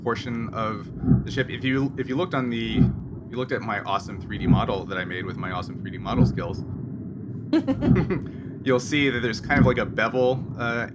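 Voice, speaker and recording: Male game master, mid pitch, improvised halting narration, heavy background noise and rumble. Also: animated. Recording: noisy, loud background hum.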